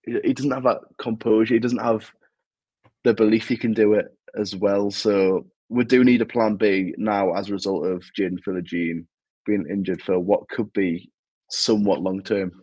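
A young man with a Geordie accent, talking through football results in a chatty, analytical way. His voice sits fairly low. The sound is close and dry, with no room around it. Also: steady tone, moderate pace, a little mellow, breathy edge, dry recording. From Newcastle, England. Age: young adult. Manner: chatty, analytical match review. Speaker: podcast co-host. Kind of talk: casual football podcast chat.

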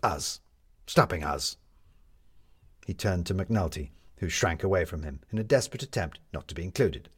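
A man's voice: low voice, brisk, hardly any pauses, a little mellow, slightly rough. A man reading a scripted story aloud as a storyteller. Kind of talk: scripted story narration with character voices.

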